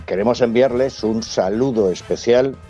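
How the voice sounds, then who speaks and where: A man with an accent from Spain, giving a drawn-out, announcer-style recorded greeting as a radio presenter. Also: mid-range voice. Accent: Spanish from Spain. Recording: dull lo-fi recording. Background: music.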